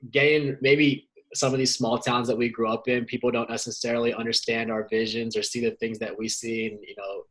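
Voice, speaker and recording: A man from Ohio co-hosting a podcast, talking in a relaxed, rambling way. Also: mid-range voice, brisk, hardly any pauses, poor audio. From Canton, Ohio. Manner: relaxed, rambling conversational delivery. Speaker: podcast co-host. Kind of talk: casual interview chat.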